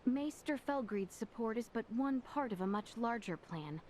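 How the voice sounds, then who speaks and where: A woman's voice: a little high, animated, normal speed, darkish tone, slight background noise, lightly acted. An actress playing a composed, determined young noblewoman in a video game. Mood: earnest. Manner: earnest, composed. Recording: game cutscene with music behind.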